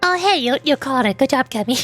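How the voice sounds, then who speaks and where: A woman's voice: high voice, animated, normal speed, a bit bright. A woman giving casual, playful game commentary, often thinking aloud hesitantly as she goes. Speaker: streamer voice-acting along with a video game. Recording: music behind the voice. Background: game music.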